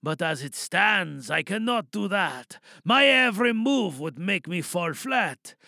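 A man's voice: high voice, lively intonation, measured pace, creaky, bright, forceful tone, no echo, clean studio sound, heavily acted. A man voicing an aggrieved, brooding old mountain troll, declaiming menacing rhymed verse in an audio drama monologue.

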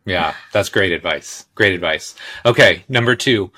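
A man's voice: deep voice, expressive, unhurried, sharp tone. A man hosting a podcast interview, easygoing and agreeable, often chiming in with "yeah.". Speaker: podcast host.

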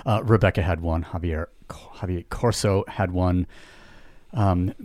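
A man's voice: low voice, fairly even intonation, a little slow, darkish tone, dry recording, clean studio sound. A man hosting a podcast, talking casually with stammers, restarts and frequent laughter. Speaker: podcast host. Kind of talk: casual conversational interview.

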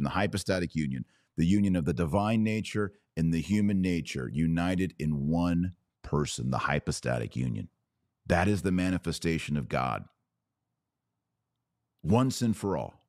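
Deep-voiced man preaching emphatically. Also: lively intonation, a little mellow, no echo, clean studio sound. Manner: emphatic, declarative, deliberate pauses and repetition. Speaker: religious podcast host. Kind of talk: preaching monologue.

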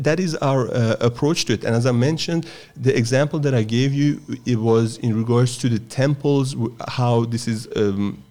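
A man from Iran, a guest on an interview, explaining his practice in a measured way with frequent pauses and fillers. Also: mid-range voice, a little mellow. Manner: measured and explanatory, with many hesitations. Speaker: guest, Persian strength and yoga teacher. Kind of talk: thoughtful interview answers.

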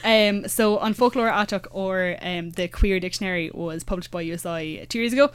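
A woman's voice: medium pitch, smooth, dry recording. A young Irish woman in her twenties chatting casually, full of fillers and restarts. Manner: fillers, many restarts, quick asides. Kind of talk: casual chat.

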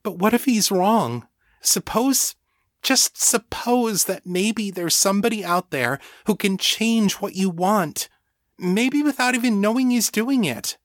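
A man's voice: high voice, lively intonation, a little slow, dry recording, pitched above the performer's own voice. An anxious woman from an audiobook, acted by a male narrator, pleading with her friend in a raised, swooping voice. She is earnest and urgent, hesitating as she warns, and the sound is close and dry.

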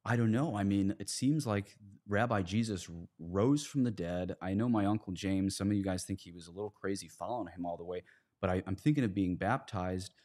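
A man with a fairly low voice hosts a conversational podcast. He argues his points emphatically and rhetorically, voicing imagined speakers as he goes. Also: brisk, a little mellow. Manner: emphatic, rhetorical, acts out quotes. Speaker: podcast host. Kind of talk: conversational podcast discussion, argumentative.

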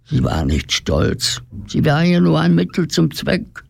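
A man's voice: fairly high pitch, very animated, measured pace, gravelly. A man narrating a radio play in a scripted, fairy-tale storytelling style.

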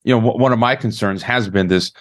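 A man's voice: on the low side, quick pace. A man hosting an interview who stammers and repeats words as he gathers his thoughts. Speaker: interview host. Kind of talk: conversational interview.

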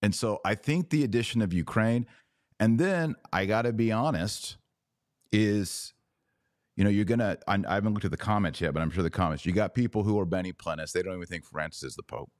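A man's voice: lowish voice, fairly quick, dry recording, clean studio sound. A man hosting a conversational religious debate podcast, arguing emphatically with hypothetical examples. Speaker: podcast host.

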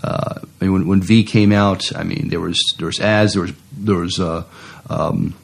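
Low-pitched podcast co-host. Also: male voice, fairly even intonation, moderate pace, gravelly, breathy edge. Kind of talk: casual news commentary, some reading aloud.

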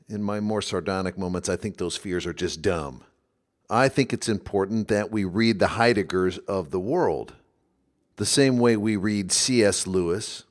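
Man, podcast host, reflective solo talk, somewhat low voice, wry asides. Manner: thoughtful, conversational, occasionally wry.

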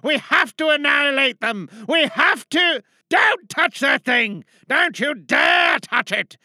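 A stern female space captain played by an amateur actress, gripped by paranoia. Her high voice is piercing and forceful as she commands and pleads in slow, weighty phrases, like a desperate leader in an audio drama monologue. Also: lively intonation, dry recording, clean studio sound, heavily acted. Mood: alarmed, commanding. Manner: pleading, commanding, theatrical outbursts.

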